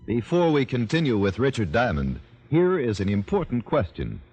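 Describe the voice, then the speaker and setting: A man's voice: mid-range voice, very animated, moderate pace, highs cut off, loud music behind the voice. A man announcing a scripted 1940s radio commercial with formal emphasis.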